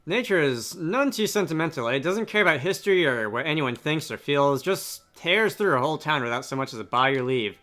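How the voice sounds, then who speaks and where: A man's voice: on the high side, lively intonation, a little fast, few pauses, bright, forceful tone. A man voicing an easygoing, folksy scholar adventurer from a video game, frank and colloquial. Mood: frank, hopeful.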